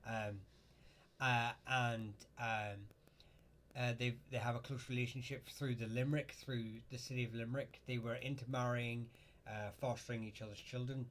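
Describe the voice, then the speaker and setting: Male host, live chat, middle-pitched and halting, faint hiss behind. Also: level tone, fairly bright tone. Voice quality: halting, lots of ums and uhs. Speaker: host answering viewer questions.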